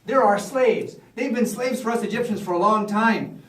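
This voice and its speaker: Man as a chatty high-voiced Egyptian court magician, echoey. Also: moderate pace. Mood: smug, boastful. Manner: animated, conversational, acting out the other characters. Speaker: storyteller. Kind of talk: live in-character Bible storytelling, casual modern speech. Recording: echoey room, far from the mic.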